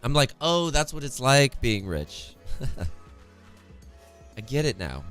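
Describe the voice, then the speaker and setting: A young man with a worn-out, hoarse voice casting an esports match on a home stream, chatty and reading chat aloud, close to a studio mic. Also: mid-range voice, very animated, takes it slowly, fairly bright tone, soft background music. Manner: chatty, reads chat aloud, laughs often. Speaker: esports caster. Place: home streaming room, indoors. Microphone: studio condenser mic close in front, headphones.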